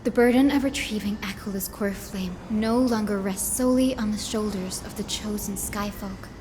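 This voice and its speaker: A woman playing a gentle, earnest young female healer in a video game, speaking in a solemn, polite and formal manner. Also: medium pitch, normal speed, noisy background, natural voice. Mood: resolute. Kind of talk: scripted video game voice acting. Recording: game audio with background music. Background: music.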